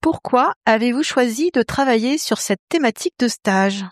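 A woman with a fairly high voice asks prepared interview questions clearly and formally. The sound is dry and close to the mic. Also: animated, normal speed, no room sound.

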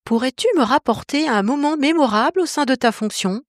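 A woman's voice: high-pitched, a little fast, no room sound, studio quality. A woman hosting a podcast interview, reading scripted questions in a polite, presenter-like way.

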